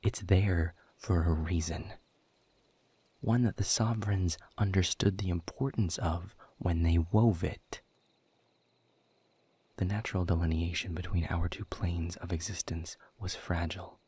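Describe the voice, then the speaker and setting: Audio roleplay: bitter, sardonic demon played by a man, deep husky voice, slow emphatic delivery, world-weary. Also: mellow, exaggerated voice. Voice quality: husky, breathy low voice. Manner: sardonic, emphatic, deliberate phrasing. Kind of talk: scripted audio roleplay monologue.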